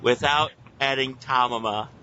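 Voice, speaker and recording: Young male co-host, middling pitch, casual chatting, muffled recording with slight background noise. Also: fairly even intonation, measured pace, sharp tone. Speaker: podcast co-host. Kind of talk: casual group banter. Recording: dull lo-fi voice chat, faint noise.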